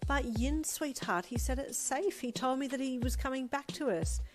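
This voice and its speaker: Woman putting on a high, doting mother. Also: moderate pace, natural voice. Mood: hurt, pleading. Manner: sing-song, pleading, tearful. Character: doting, emotional middle-aged mother. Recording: music playing under the voice.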